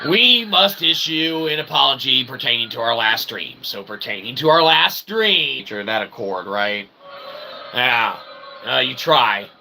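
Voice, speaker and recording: A man's voice: medium pitch, lively intonation, a little slow, bright, forceful tone, poor audio. A man hosting a livestream, giving a rambling philosophical monologue in wordy, convoluted phrasing with frequent tag questions. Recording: clear background noise.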